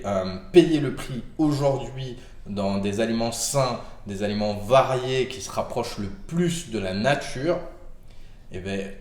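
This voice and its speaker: A man's voice: fairly low pitch, darkish tone, echoey room. A man with a cold giving casual health advice to camera, rambling with many fillers and restarts. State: has a cold.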